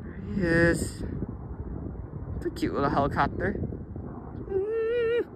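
Excitable young man outdoors on a dock. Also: sits high, slow, background noise. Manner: excitable, exclaims over finds. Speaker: magnet fishing vlogger. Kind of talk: excited casual commentary. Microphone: handheld phone or body camera.

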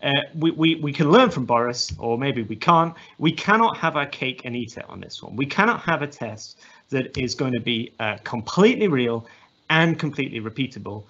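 A man in his thirties speaks with an English accent, presenting to a webinar audience over a video call. His voice is husky and mid-pitched, and he often restarts and fills pauses as he works through his points. The audio is slightly dull. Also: animated, bright, forceful tone. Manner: thoughtful, many restarts and fillers. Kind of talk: academic presentation.

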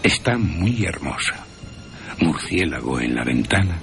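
Male radio narrator, slow deliberate phrasing, animated intonation, theatrical, wry. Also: a bass voice, vocal fry, faint music behind. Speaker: radio drama narrator and presenter. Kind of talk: dramatic horror storytelling, scripted narration.

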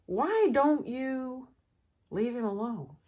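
Woman voicing a slow, calm storybook turtle. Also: fairly high pitch, full character voice. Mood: frank, calm. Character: calm, blunt, slow turtle giving frank advice.

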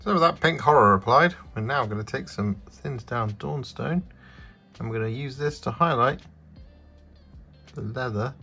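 Creaky-voiced man narrating a tutorial. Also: medium pitch, normal speed, pauses often, pushed and bright. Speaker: miniature painting tutorial host. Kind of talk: instructional voice-over narration. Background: background music.

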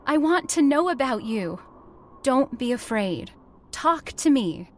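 Woman acting a high-voiced, dramatic heiress. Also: very animated, takes it slowly, heavily acted. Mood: coaxing, pleading. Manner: pleading, emphatic, theatrical. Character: headstrong young factory heiress, earnest and commanding. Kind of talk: old-time radio horror drama acting.

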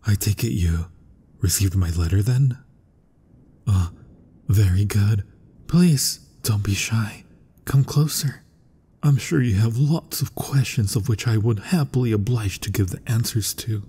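Husky, breathy mad scientist, acted by a man. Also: fairly low pitch, measured pace, warm tone, exaggerated voice. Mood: courteous, curious. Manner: florid, courteous, dramatic delivery. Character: eccentric, fervent male mad scientist, gothic horror doctor. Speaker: voice actor in audio roleplay. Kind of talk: ASMR roleplay, theatrical gothic monologue. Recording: long reverberant hall sound.

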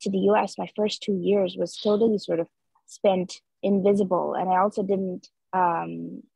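Guest: young Nepal-born woman, dark husky tone, earnest and chatty on a dull video call. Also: medium pitch, little pitch movement, normal speed, dry recording. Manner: earnest, many filler likes. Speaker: interview guest, street artist. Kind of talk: casual reflective conversation. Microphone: video call laptop mic.

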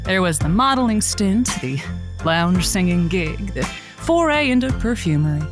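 A woman's voice: medium pitch, sing-song, normal speed, over music, close to an everyday voice. A woman playing a witty, teasing late-night radio host in an audio drama. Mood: sultry. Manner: witty, playful, sultry on air. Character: Josie, sultry radio host, also playing Joanne. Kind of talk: semi-scripted audio drama.

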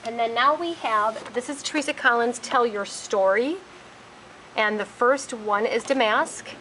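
Woman, higher voice, casual store host, naming items one by one, warehouse, faint background hum. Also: measured pace, pushed and bright, poor audio. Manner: plainly lists product names one by one. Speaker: store host showing products. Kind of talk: casual product walkthrough. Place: large warehouse with wire shelving. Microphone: handheld camera, speaker behind it.

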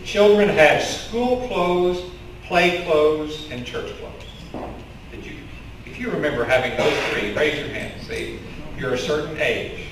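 Slow-speaking pastor in an echoey sanctuary. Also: male voice, fairly high pitch, recorded from a distance, background noise. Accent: Kentucky. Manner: conversational storytelling preacher, gestures with open hands. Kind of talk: sermon. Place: church sanctuary in front of a curtain. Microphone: stand mic with headset mic, filmed from the congregation.

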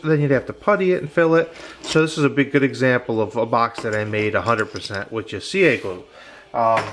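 A man's voice: medium pitch, a little hiss or hum. Man explaining glues at a workbench. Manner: relaxed, many fillers and restarts. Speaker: woodworking channel host. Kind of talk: casual how-to demonstration. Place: workshop bench.

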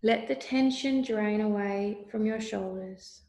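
A woman leading a guided meditation with calm, soothing, deliberate instructions. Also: mid-range voice. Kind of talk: slow guided meditation narration. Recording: roomy, echoey voice recording.

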